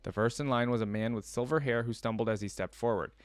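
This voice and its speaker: A man's voice: mid-range voice, fast talker, clean tone, no echo. A man hosting a reaction video, reading a story aloud and commenting casually in slangy asides.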